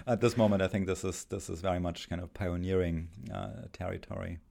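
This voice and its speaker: A middle-aged man with a German accent, a philosophy professor speaking as a guest, halting and thoughtful with many pauses and restarts. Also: low-pitched, fairly even intonation, vocal fry, warm tone. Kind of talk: thoughtful academic conversation.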